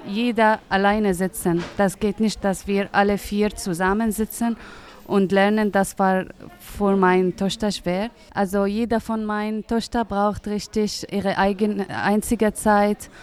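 A woman in her thirties from Syria, answering interview questions in simple, halting sentences with many pauses to find words. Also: mid-range voice, little pitch movement, darkish tone, clear, resonant tone. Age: 30s. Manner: hesitant, searching for words, simple grammar. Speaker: interviewee, English teacher.

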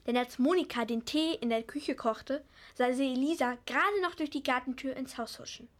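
A woman's voice: high voice, normal speed, lightly acted. A female narrator reading scripted storytelling links for a children's radio play.

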